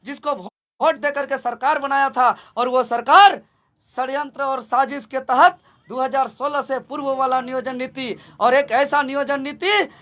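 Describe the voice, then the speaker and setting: A man's voice: extremely high pitch, fairly even intonation, a little slow, a bit bright. A young man with a Jharkhand accent speaking as a student movement leader, making an impassioned political statement in an emphatic, repetitive, rallying manner.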